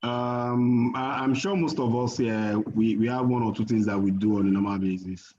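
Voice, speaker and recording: A man giving an investment presentation on a video call, measured and full of fillers and restarts. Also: medium pitch, fairly even intonation, mellow, a little hiss or hum. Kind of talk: webinar presentation. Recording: muffled video call audio.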